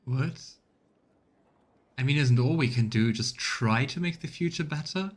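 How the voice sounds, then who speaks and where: Game streamer, male, mid-pitched, chatty and musing commentary. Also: unhurried. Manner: chatty, musing, laughs easily. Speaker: let's play host. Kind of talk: casual gameplay commentary.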